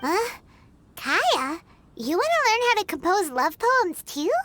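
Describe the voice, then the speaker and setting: A woman's voice: extremely high pitch, very animated, fairly bright tone, heavily acted. A woman voicing a small childlike fairy companion in an anime game, cute and emphatic, blunt and a little bossy. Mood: skeptical, teasing. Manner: cute, emphatic, drawn-out exclamations. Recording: studio voice over game music. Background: playful tinkling music.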